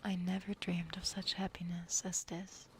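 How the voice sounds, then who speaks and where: A young woman streamer whispering softly, reading a story aloud and chatting with her viewers. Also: fairly low pitch, flat delivery, moderate pace, a little hiss or hum. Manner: soft whispering, chatting with chat. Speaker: ASMR streamer.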